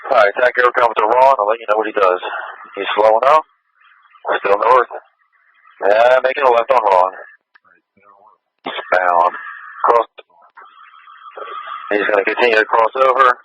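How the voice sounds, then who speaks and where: A man's voice: fairly low pitch, a little slow, long pauses, pushed and bright, a little hiss or hum. A man in a police unit calling out terse location updates during a pursuit over radio. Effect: police radio transmission. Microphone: police radio handset heard over scanner. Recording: dull narrow-band police scanner radio.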